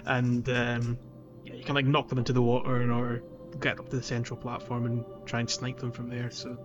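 A man's voice: medium pitch, completely flat delivery, normal speed. Man chatting on a dull-sounding gaming podcast. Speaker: podcast host. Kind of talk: casual gaming podcast chat. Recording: dull lo-fi audio with music behind. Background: music.

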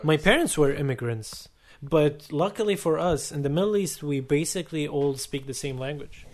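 A man's voice: on the high side, expressive, moderate pace. A young man whose first language is Arabic, telling his story in casual chat, earnest and wry, with many fillers and hesitations. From Saudi Arabia.

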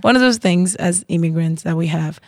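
A woman's voice: fairly low pitch, fairly quick, no room sound, clean studio sound. A woman musician from Cuba, explaining thoughtfully in a casual interview.

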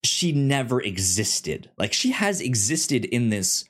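A man co-hosting a podcast, talking casually and haltingly as he thinks aloud and stammers over words. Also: mid-range voice, sing-song. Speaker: podcast co-host. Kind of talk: casual discussion and review.

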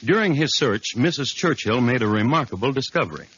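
A man playing a wry true-crime chronicler, narrating a 1950s radio drama with dry, deliberate humour and a husky voice. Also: mid-range voice, expressive, a bit bright. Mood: dryly amused. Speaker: narrator and host. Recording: dull lo-fi 1950s radio recording.

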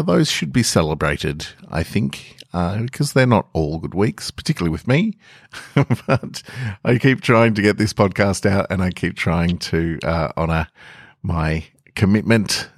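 Guy, very deep voice, rambly podcast host chat, dry close sound. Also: creaky, no echo. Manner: rambling, self-deprecating, many fillers. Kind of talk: casual chat and story reading.

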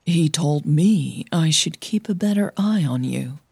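A woman voicing an earnest, cautioning female coach in an audio drama, measured and persuasive. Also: low-pitched, expressive, creaky, darkish tone, breathy edge, dry recording, clean studio sound, heavily acted. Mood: hesitant, earnest.

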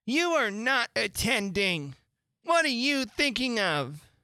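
An indignant little mouse from a storybook, acted out by a woman. The voice sits high and scolds in slow, huffy, drawn-out words. It is quick to take offence. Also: sing-song, pushed and bright, no room sound, clean studio sound, heavily acted. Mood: offended, scolding.

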